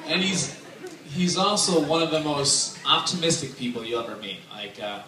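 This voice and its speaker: Best man, thirties, chatty and laughing, bit high voice; distant, noisy tent recording. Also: moderate pace. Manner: joking, chatty, laughing between lines. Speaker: best man giving a wedding toast. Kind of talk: casual humorous speech to a crowd. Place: large wedding tent with a dance floor, indoors. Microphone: handheld microphone through speakers, filmed from afar. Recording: echoey tent heard from far off, loud crowd and noise. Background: wedding guests laughing and cheering.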